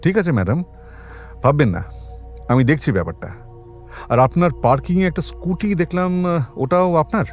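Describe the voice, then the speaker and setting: A man's voice: fairly high pitch, lively intonation, faint music behind. Composed, probing male police detective. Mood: calm, firm. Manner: polite but pointed, measured questioning. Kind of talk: audio drama acting.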